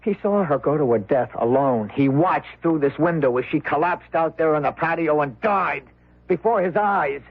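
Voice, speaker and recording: An actor playing an earnest younger husband with a sarcastic edge and a husky voice, in a radio drama. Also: male voice, fairly high pitch, moderate pace, lo-fi sound. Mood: indignant. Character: protesting, sarcastic son-in-law, younger man. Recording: thin vintage radio recording.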